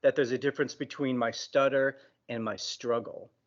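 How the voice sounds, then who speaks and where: Male interviewer who stutters, relaxed informal wording, occasional repeats, slightly lo-fi sound. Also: mid-range voice, moderate pace. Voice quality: occasional word repetitions of a stutterer. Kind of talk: casual conversational interview.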